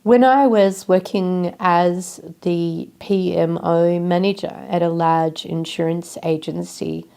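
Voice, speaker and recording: A middle-aged woman hosting a solo podcast, sounding nasal from a cold and explaining in a measured way. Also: fairly low pitch, creaky, warm tone. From Australia. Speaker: podcast host.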